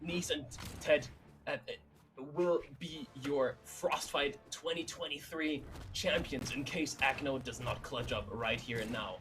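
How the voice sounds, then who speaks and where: A man commentating an esports tournament on a livestream in an emphatic, hype-building way, his voice a little raspy. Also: mid-range voice, a little slow. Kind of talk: excited live game commentary and post-match talk.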